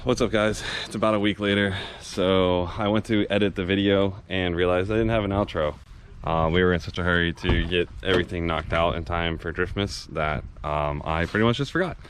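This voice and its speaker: A man's voice: deep voice, a little fast. A man casually chatting and narrating a van build for his vlog. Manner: relaxed, chatty, mutters while working. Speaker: vlog host. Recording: noisy background.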